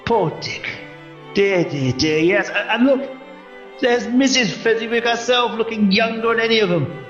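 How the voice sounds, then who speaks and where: A man's voice: sits high, normal speed, fairly bright tone. A man acting an elderly miser in a radio play, stammering, exclaiming and repeating his words with theatrical feeling. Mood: joyful. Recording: roomy, dull audio with music.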